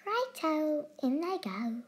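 Sly grinning dingo cook, boastful and gleeful, storybook read-aloud, a woman voicing him, slow and a little high. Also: sing-song, exaggerated voice. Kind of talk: storybook reading.

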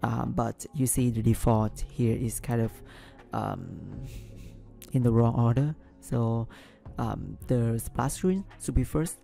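A man walks through a software tutorial at his desk, his voice gravelly and dark in tone. He hesitates often, filling gaps with ums and restarting phrases, speaking English as a non-native. Faint music plays underneath. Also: mid-range voice, takes it slowly. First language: not English. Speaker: business analyst tutorial host. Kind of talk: screen-recorded software tutorial.

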